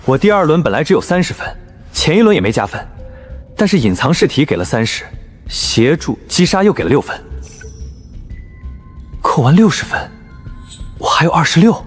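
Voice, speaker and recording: A man playing a mild, respectful junior teammate who explains things politely in an animated drama. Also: fairly high pitch, moderate pace, long pauses, faint music behind. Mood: matter-of-fact.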